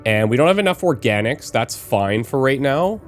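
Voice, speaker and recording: Slightly raspy man with a cold. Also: mid-range voice, big pitch swings, moderate pace, soft background music. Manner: musing aloud, planning with fillers. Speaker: let's play host. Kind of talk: casual gameplay commentary.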